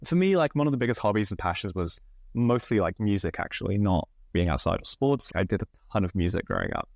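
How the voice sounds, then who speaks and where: A man in his thirties with an English accent, slightly northern, telling stories from his life as a podcast guest, relaxed with lots of fillers. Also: lowish voice, quick pace, gravelly, dry recording, clean studio sound. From England. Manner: relaxed, many fillers, laughs often. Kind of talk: candid conversational storytelling.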